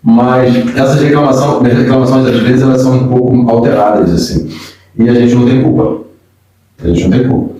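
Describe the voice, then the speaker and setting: A man's voice: medium pitch, steady tone, fairly quick, warm tone, a little hiss or hum. A man with a Brazilian Portuguese accent hosting a podcast and chatting in an informal, storytelling way. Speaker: podcast host. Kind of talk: casual interview chat. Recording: echoey room, distant mic.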